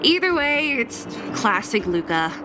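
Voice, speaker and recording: A woman's voice: a little high, big pitch swings, unhurried. A woman voicing a tough, sardonic young female fighter in a video game, with a dry, exasperated, grudging manner. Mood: wry, resigned. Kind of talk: English video game voice acting. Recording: music playing behind the voice.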